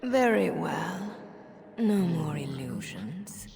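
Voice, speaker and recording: A woman voicing a calm, haughty, menacing female demon in a fantasy video game, breathy and half-whispered. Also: lowish voice, slow pace, full character voice. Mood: silky, haughty.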